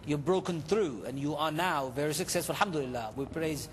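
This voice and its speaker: A man's voice: fairly high pitch, a little fast, big-room sound, a little hiss or hum. A man hosting a live fundraising interview, encouraging and peppering his talk with Arabic devotional phrases. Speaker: television charity appeal host taking calls.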